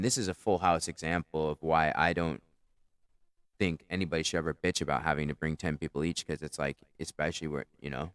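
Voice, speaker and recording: Deep-voiced man rambling through a self-review. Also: smooth, no echo, studio quality. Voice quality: powerful, carrying voice. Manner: rambling, profane, self-critical. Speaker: comedian reviewing his own set. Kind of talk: casual commentary.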